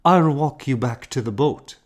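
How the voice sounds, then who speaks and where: A man's voice: medium pitch, measured pace, voice pushed up, close to an everyday voice. A man voicing a curt, disapproving, bossy woman in an audiobook novel. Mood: brisk scolding. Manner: curt, scolding.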